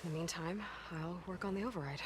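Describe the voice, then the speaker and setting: A woman playing a determined, compassionate young huntress in a game cutscene, talking gently and sincerely with small hesitations. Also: fairly low pitch, fast talker, background noise, lightly acted. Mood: practical, calm. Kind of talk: video game cutscene acting. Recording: clear ambient game sound behind voice.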